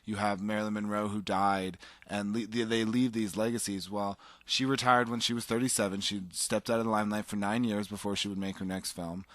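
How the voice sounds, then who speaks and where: A man's voice: on the low side, level tone, a little fast, hardly any pauses, a bit bright, no echo. A man co-hosting a casual chat show, talking enthusiastically and rambling with fillers and restarts. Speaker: podcast co-host.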